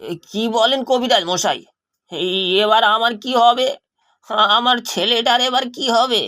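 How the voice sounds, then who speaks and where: High-voiced, fretful village father played by a man. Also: unhurried, pushed and bright, full character voice. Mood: distraught, sad. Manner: fretful, lamenting. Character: poor, troubled village husband and father.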